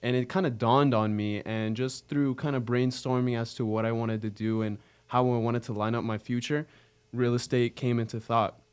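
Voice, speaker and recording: Clear-voiced American man of nineteen. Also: medium pitch, level tone, moderate pace. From Arizona, United States. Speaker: interview guest, realtor. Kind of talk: casual chat.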